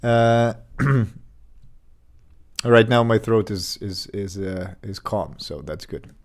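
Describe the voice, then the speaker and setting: Guy on a dev stream, slow and rambly, still a bit sick w a cough, desk mic at home. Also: fairly low pitch. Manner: relaxed, rambling, many fillers and restarts. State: recovering from illness, slight cough. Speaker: game developer streaming his work. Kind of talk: casual live-stream chat.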